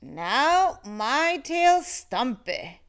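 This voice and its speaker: A woman's voice: high-pitched, very animated, fairly bright tone, smooth, voice pushed up, exaggerated voice. A woman voicing a big, scruffy boy monster who slurps, whines and draws out words while trying to sound menacing. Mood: blustering. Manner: slurping, drawn-out, whining.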